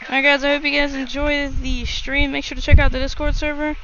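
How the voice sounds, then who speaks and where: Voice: high-pitched, little pitch movement, fairly quick, a bit bright. A livestream host giving casual live commentary and chatting with viewers. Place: outdoors near an airport. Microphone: single microphone. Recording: dull lo-fi audio, outdoor ambient noise.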